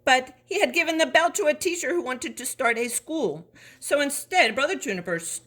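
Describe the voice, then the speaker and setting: A woman reading a children's story aloud in an expressive, animated way, as a storybook reader. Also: high voice, normal speed.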